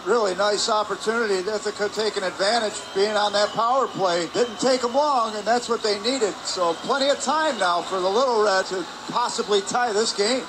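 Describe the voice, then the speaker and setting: A man giving measured, explanatory color commentary during a live hockey broadcast. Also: sits high. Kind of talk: live sports analysis. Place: indoor ice hockey arena. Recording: noisy arena ambience behind.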